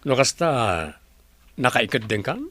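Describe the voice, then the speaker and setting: A man's voice: medium pitch, sing-song, slow, sharp tone, no room sound, heavily acted. A man voicing a jealous, short-tempered young businessman with an Ilocano accent. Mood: scheming. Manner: short-tempered, scolds his servant.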